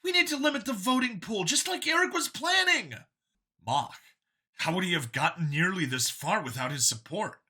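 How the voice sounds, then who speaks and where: A man's voice: high voice, animated, moderate pace, sharp tone, husky, voice pushed up, heavily acted. A man voicing a smug, fanatical young cybernetic clone in a storybook reading, with grandiose, emphatic delivery. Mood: smug, zealous.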